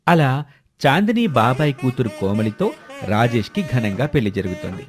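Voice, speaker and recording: A man's voice: mid-range voice, very animated, brisk, over music. A man reading scripted narration for a moral family story.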